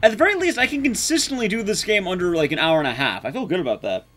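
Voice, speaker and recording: Young male game streamer, higher register, lively swings in pitch, casual self-mocking live commentary with some swearing. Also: racing. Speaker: speedrun streamer. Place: small indoor streaming room. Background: game music.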